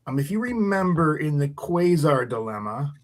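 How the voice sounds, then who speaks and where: A man playing an earnest, nerdy teenage sci-fi superfan with a pedantic, halting way of talking in a live radio-theatre read. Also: fairly high pitch, big pitch swings, pitched below the performer's own voice.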